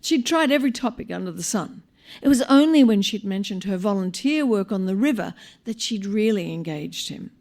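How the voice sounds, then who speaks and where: An Australian woman reading a short story aloud live, in an expressive, wry manner with dramatic pauses. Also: medium pitch. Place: live on stage at a small venue.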